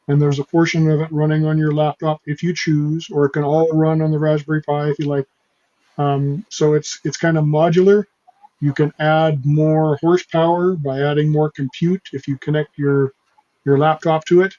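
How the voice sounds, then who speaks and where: A man's voice: a little high, level tone, normal speed, mellow. Fifty-something man on dull lo-fi audio. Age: 50s. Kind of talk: casual technical explanation.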